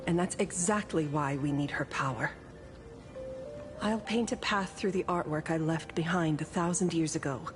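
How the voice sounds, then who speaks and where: Composed low-voiced woman painter played by an actress. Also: moderate pace, over music, lightly acted. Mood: determined. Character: composed, ancient female painter, reassuring and knowing. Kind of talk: video game voice acting.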